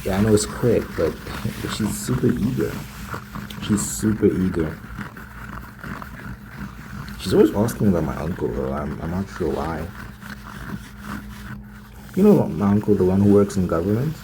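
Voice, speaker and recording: A man's voice: sing-song, moderate pace, pauses often. A man doing a casual ASMR roleplay monologue, telling his story in a hesitant, repetitive, slangy way as a smitten, naive boyfriend. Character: smitten, naive young man gushing about his new girlfriend. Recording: lo-fi ASMR with loud rumbling noise.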